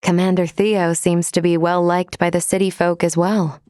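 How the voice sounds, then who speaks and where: Actress doing polite android lady from a video game, lowish voice, precise and formal, very dry recording. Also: flat delivery, mellow, dry recording, lightly acted. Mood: calm, reassuring. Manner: formal, measured, precise phrasing. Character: composed, polite female android crew member, formal and caring. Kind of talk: scripted video game character lines.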